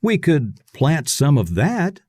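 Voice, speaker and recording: A man voicing a friendly young boy in a children's storybook, with simple, deliberate phrasing. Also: fairly high pitch, wildly swooping pitch, darkish tone, dry recording, studio quality, exaggerated voice. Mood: helpful.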